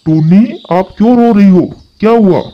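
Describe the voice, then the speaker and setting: A man's voice: sits high, normal speed, natural voice. A man playing a kind, reassuring brotherly crow in a Hindi cartoon. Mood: concerned. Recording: slightly dull, lo-fi sound.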